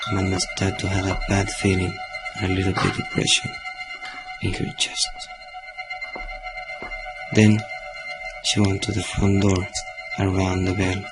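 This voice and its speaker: A man's voice: deep voice, poor audio. A teenage male student with Spanish as his first language, reading scripted story narration for a radio drama. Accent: Chilean. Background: faint music.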